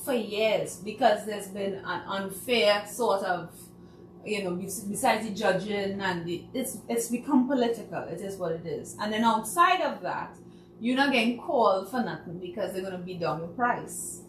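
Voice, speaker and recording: Trinidadian woman, talk-show guest, animated; off-mic, roomy. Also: medium pitch, moderate pace, distant mic. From Trinidad and Tobago. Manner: animated, laughing, mimics people. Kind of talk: casual talk show chat. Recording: echoey room, voice from off-mic, faint noise.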